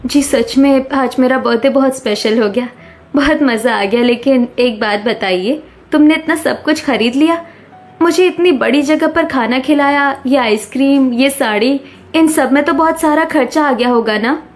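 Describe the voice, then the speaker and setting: A woman's voice: high voice, normal speed, close to an everyday voice. A woman playing a devoted but suspicious young village wife in a cartoon, earnest and questioning. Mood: delighted.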